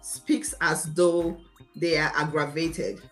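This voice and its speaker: A confident Nigerian woman on an online interview show, telling her story emphatically in a fairly low voice over music. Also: fairly even intonation, takes it slowly, low-quality recording. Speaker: interview guest, lawyer. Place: remote video call.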